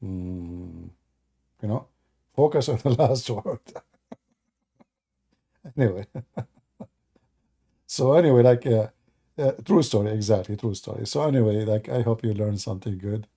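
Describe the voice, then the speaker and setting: A man's voice: on the low side, unhurried, creaky, long pauses, warm tone, slightly rough. A man from the Middle East, a native Arabic speaker, hosting a casual live stream in broken English, sarcastic and joking as he answers his viewers. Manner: sarcastic and joking, mocks the chat.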